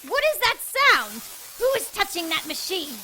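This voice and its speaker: A woman's voice: very high voice, sing-song, normal speed, a crowd in the background, rough recording. A woman playing a ruthless witch queen in an audio drama, imperious and gloating as she commands henchmen. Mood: imperious, urgent. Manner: imperious, gloating, shouting orders.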